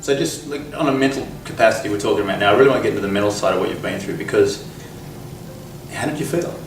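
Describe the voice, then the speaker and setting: A man's voice: fairly low pitch, very fast, a little hiss or hum, rough recording. A man hosting a motivational interview, talking with energy and often restarting his phrases. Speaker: interviewer and host. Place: outdoors at a conference venue.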